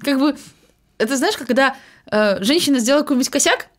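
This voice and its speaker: Young woman reacting to a movie, chatty, higher voice, talks really fast. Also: animated. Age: young adult. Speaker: reaction video co-host. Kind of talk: casual chat, commenting on a film. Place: small home streaming room.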